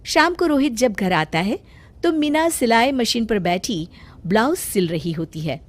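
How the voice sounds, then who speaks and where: Woman telling a cartoon kids story, calm, highish voice. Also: measured pace, no echo. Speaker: narrator. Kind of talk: scripted story narration.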